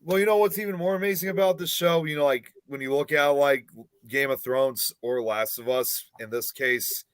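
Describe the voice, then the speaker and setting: A man's voice: a little high, normal speed, smooth, no echo. A man co-hosting a casual podcast, chatty and fond of sharing trivia. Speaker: podcast co-host.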